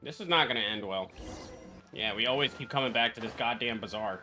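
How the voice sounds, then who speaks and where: A man streaming a video game with casual, blunt, excitable, foul-mouthed reaction commentary. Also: medium pitch, a little fast, soft background music. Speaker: gaming streamer.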